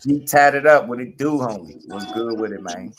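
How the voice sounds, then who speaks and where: A man hosting a casual boxing talk show, animated and slangy, breaking into laughs as he riffs on fights. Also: medium pitch, normal speed, noisy background. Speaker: boxing podcast host.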